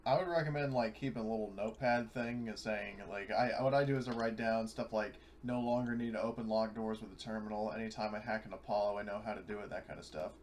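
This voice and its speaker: A man's voice: lowish voice, fairly even intonation, fast talker, hardly any pauses, slight background noise. A man playing a tabletop game, chatting casually with the group about his moves and rules.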